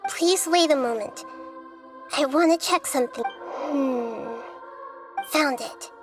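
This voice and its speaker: A small, wise young girl sage from a video game. Her voice is high and youthful, yet she speaks in a calm, thoughtful, articulate way over faint music. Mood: composed. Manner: measured, articulate, reflective. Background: faint background music.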